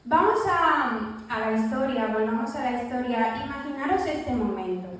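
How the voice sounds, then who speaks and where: Woman, preacher, high voice, sermon, storytelling, echoey church, distant mic. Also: animated, moderate pace. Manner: storytelling, acting out quoted lines. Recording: echoey church hall, voice from far off.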